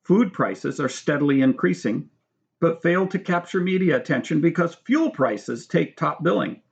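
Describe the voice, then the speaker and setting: A man reading his own book aloud as scripted narration, in a measured, formal manner. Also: a little high, animated, vocal fry, muffled recording. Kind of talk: scripted narration with brief spoken asides.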